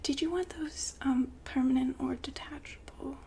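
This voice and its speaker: A woman whispering softly and breathily in an ASMR roleplay, playing a gentle, attentive shop consultant. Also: sits high, steady tone, moderate pace, vocal fry. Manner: gentle, attentive customer-service patter. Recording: faint background noise and ambience.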